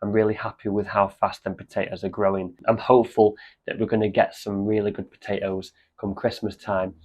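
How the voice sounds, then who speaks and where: A man with a cold and a slightly croaky voice, chatting casually in a gardening vlog. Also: lowish voice, a little fast, dull audio. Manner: chatty, relaxed, with many fillers. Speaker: allotment vlogger. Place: outdoors at an allotment.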